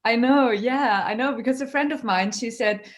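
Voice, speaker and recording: A woman's voice: a little high, normal speed. A woman comedian from Austria, speaking English as a second language in a chatty interview and often laughing as she tells stories. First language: German. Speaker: comedian, guest. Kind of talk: casual interview chat.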